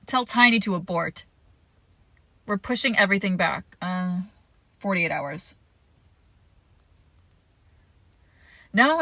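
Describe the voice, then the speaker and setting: A woman playing a seductive, menacing villain crime boss and wounded ex-lover in an intimate audio roleplay, commanding and breathy, often dropping into whispers. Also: mid-range voice, bright, forceful tone, dry recording. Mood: brisk, commanding.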